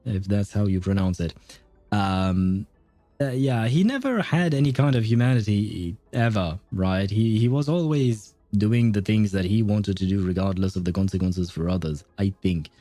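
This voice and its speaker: Guy streaming a game, chatty and rambling, relaxed tone, bit of music underneath. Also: fairly low pitch, moderate pace, no echo. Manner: rambling, thinking aloud. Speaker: livestream host. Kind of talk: casual game stream commentary.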